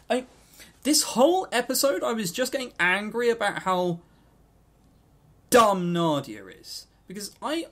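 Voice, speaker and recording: A man co-hosting a podcast, giving an unscripted, exasperated, sweary review with emphatic delivery. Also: fairly bright tone. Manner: mimics characters in high exaggerated voices. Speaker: podcast co-host reviewing visual novels.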